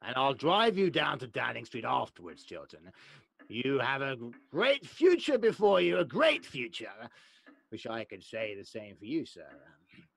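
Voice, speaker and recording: Man playing a blustering, creaky old English lord. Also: mid-range voice, wildly swooping pitch, a little slow, fairly bright tone, exaggerated voice. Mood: pleased, blustering. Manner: blustering, stammering. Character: gruff, elderly English lord, exasperated but fond father. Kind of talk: period comedy radio play acting.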